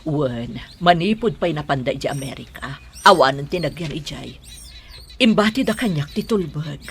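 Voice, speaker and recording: A woman's voice: low-pitched, expressive, moderate pace, a little hiss or hum. A woman with a Filipino accent playing a concerned, practical middle-aged mother in a radio drama. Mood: calm, practical. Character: older mother, concerned, giving her children practical advice. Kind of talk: Ilocano radio drama acting.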